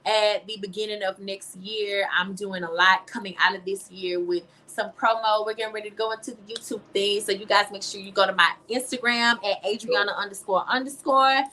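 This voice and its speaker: A young American woman with a medium-pitched voice answers interview questions in a casual, conversational way. She speaks informally and with emphasis, dropping in "you know" as she goes. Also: fairly even intonation, fairly quick, hardly any pauses, sharp tone. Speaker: interview guest, teacher and singer-songwriter.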